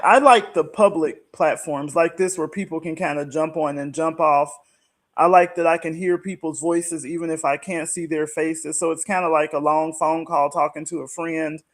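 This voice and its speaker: A man talking casually as a podcast guest, explaining things in a conversational way. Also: fairly high pitch. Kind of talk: casual conversation about online platforms.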